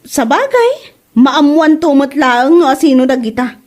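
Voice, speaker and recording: A woman with a high voice plays a nagging mother, her pitch jumping wildly as she complains. Faint music plays under her in this comic audio drama. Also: big pitch swings, measured pace, a little mellow. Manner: exasperated and nagging, rises sharply in pitch. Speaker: scolding mother. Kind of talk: comedic audio drama acting. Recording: music bed behind the voice.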